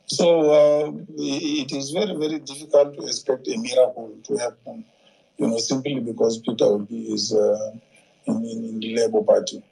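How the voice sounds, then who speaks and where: A man with a Nigerian accent giving unscripted political analysis in a halting, measured way, often repeating words. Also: medium pitch, little pitch movement, creaky, dark tone, husky, low-quality recording.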